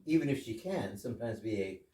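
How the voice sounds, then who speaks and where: A man's voice: medium pitch, expressive, a little fast, dark tone, close to an everyday voice. A man plays a courteous, pedantic guest in an absurdist stage comedy. The speech is formal and swooping, heard far from the microphone with faint noise. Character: polite, pedantic English gentleman guest. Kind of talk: amateur readers theater, absurdist comedy. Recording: roomy, distant mic, faint noise.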